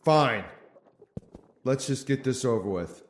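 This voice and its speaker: A man's voice: medium pitch, animated, slow pace. An actor playing a reluctant, nervous young man in an audio drama, grumbling, in a very echoey space. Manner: grudging, nervous.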